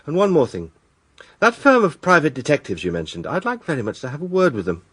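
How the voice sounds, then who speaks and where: An actor playing a confident, persistent and flirtatious barrister in a radio drama, with an assertive, urbane manner. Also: male voice, a little high, huge pitch swings, quick pace, fairly bright tone, husky, dry recording, heavily acted. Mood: breezy, charming.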